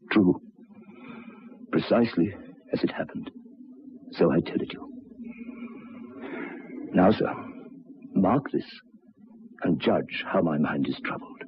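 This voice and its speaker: An actor in an old radio drama playing a troubled railway signalman, speaking in a grave, deliberate, halting way. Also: low-pitched, a little hiss or hum. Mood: anguished. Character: haunted, troubled railway signalman telling of ghosts. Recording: dull lo-fi old radio recording.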